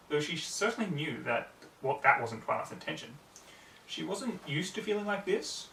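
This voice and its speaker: A young man narrates a story with earnest drama. His voice is bright and mid-pitched. It comes from a little way off in a small, echoing room. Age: young adult. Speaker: story reader. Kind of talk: dramatic fan fiction narration. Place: small home room with computer desk. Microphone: distant camera microphone. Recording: roomy, distant mic, background noise.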